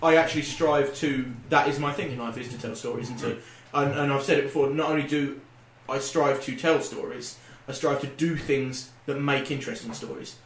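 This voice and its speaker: A man in casual group banter, telling stories in an animated, rambling way and swearing casually. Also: mid-range voice, a little fast. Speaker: podcast co-host. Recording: roomy, noisy room recording.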